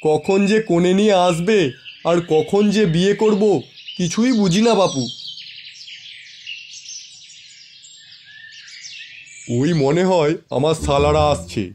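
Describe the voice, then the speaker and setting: A man voicing a foolish, gullible tiger uncle in an animated children's folktale, naive and self-assured in manner. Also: high-pitched, normal speed, slight background noise, natural voice. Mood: impatient, hopeful.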